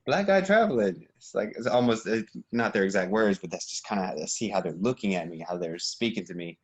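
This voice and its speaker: A young American man talking as a podcast guest in a relaxed, reflective way, with fillers and restarts. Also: lowish voice, brisk, husky. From United States. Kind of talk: casual conversation. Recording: dull lo-fi sound.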